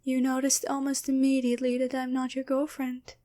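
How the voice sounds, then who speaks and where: A woman playing a sly, teasing female demon posing as a girlfriend in an intimate, deliberate ASMR roleplay. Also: high voice, completely flat delivery, dark tone, close to an everyday voice. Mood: smug, taunting. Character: taunting female demon possessing and impersonating someone's girlfriend. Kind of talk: ASMR roleplay, addressed to the listener.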